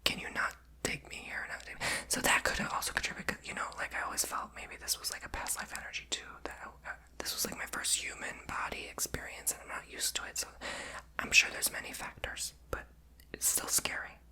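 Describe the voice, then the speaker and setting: A speaker whispering throughout in a breathy voice, telling personal stories in a rambling, candid, chatty way for ASMR. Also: a little fast, bright, forceful tone. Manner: rambling, chatty, many fillers; confessional and candid.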